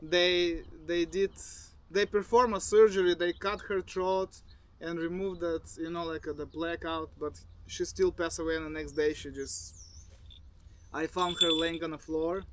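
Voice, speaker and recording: A man in his thirties from Bulgaria with a Bulgarian accent, a falconer talking casually outdoors in a halting way with restarts. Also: on the high side, fairly even intonation, a bit bright. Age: 30s. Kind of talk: casual recorded monologue. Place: outdoors in the desert. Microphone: phone.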